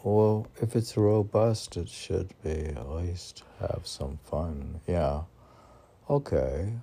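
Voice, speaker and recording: A man's voice: deep voice, slow pace, mellow, slightly rough. A man informally reading poems and commenting on them in a rambling, conversational way, posing questions and answering them himself.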